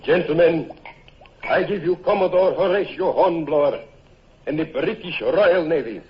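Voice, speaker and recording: An actor playing a courtly, inquisitive foreign dignitary with courteous, formal manners in an old-time radio drama. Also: male voice, on the high side, moderate pace, lo-fi sound, close to an everyday voice. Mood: ceremonial.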